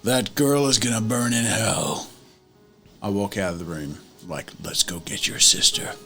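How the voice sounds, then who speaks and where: Bright, lively male roleplay gamer. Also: on the low side, unhurried, faint music behind. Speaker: tabletop game player. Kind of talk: casual in-character roleplay banter.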